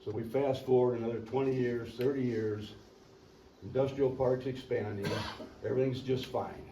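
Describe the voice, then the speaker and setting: An older man, a local resident, telling a rambling, folksy history at a public meeting and clearing his throat now and then. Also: medium pitch, fairly even intonation, moderate pace, dark tone. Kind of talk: storytelling public comment. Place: community meeting room. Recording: echoey meeting room, distant mic, faint hiss.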